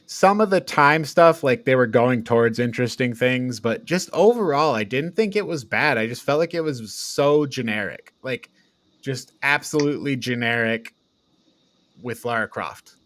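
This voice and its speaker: A man hosting a casual movie review chat, talking in an informal, halting way peppered with slang. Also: medium pitch, expressive, a bit bright, no room sound. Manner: informal, halting, frequent "like" and "dude". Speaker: host of a media review podcast.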